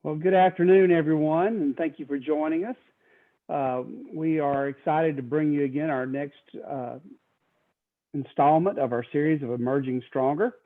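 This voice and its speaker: An upbeat man with a slightly high voice welcomes a webinar audience. His sound comes over a thin, tinny, phone-like line. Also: fairly even intonation. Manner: upbeat, hands over with "uh" pauses. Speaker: webinar host. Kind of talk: welcoming remarks.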